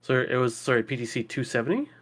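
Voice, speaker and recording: A man with a middle-pitched voice talks casually as a podcast panelist. He feels his way through his sentences, hesitant and questioning. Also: expressive, fast. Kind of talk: casual chat.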